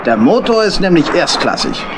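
An animated, high-pitched water-mouse boat builder. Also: male voice, normal speed, background noise. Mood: coaxing, eager. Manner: jovial, eager, coaxing. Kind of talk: German children's audio play acting.

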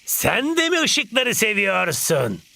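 A man voicing a frantic, panicky cartoon character with a sharp, creaky voice. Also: high-pitched, wildly swooping pitch, slow, noisy background, heavily acted. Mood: panicked. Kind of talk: exaggerated cartoon acting.

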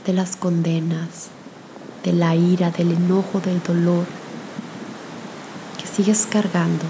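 A woman leading a guided spiritual meditation in a gentle, soothing manner with long pauses. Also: lowish voice, background noise.

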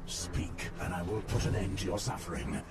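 An actor playing a grim, older, hardened ranger commander in a video game, stern and menacing, with a gravelly voice. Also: male voice, sits low, animated, echoey room, recorded from a distance, over loud music. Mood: cold menace. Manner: stern, slow menacing delivery. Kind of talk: video game cutscene acting.

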